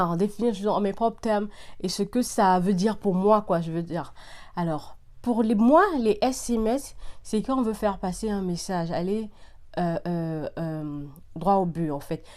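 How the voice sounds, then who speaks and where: A woman hosting a casual vlog, talking straight to her viewers in an animated, insistent way with repeated phrases and bits of English slang. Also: medium pitch. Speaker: vlog host giving opinions to viewers. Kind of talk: casual direct-to-viewer chat.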